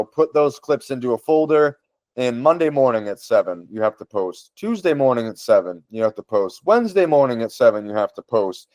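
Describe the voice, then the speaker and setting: Man, Boston accent, enthusiastic, repeats words for emphasis, middle pitch, dry close sound. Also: mellow, no room sound. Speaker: podcast host. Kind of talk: casual tutorial talk.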